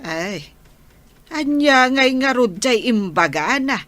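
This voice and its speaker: A woman's voice: fairly high pitch, lively intonation, slow, a bit bright. A woman playing an inquisitive, concerned older mother in a radio drama, questioning in a conversational way. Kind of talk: Filipino radio drama acting.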